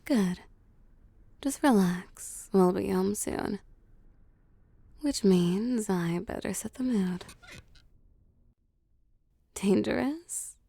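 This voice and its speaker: Woman playing a slow, creaky-voiced seductive vampire mistress. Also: medium pitch, dry recording, full character voice. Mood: soothing, then playful menace. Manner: soft cooing, teasing, often dropping to a whisper. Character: seductive, possessive female vampire CEO pampering her prey. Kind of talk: intimate roleplay audio, ASMR. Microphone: close, dry microphone.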